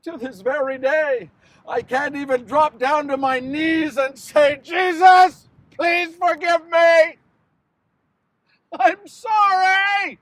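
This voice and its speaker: A man performs a damned, remorseful male betrayer in a biblical stage monologue. His voice is strikingly high for a man. He speaks with theatrical intensity and weighted pauses, tormented by his own guilt. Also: fairly even intonation, no room sound. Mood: grave, bitter. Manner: impassioned, dramatic pauses, swings from hushed to shouting. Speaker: actor performing a dramatic monologue.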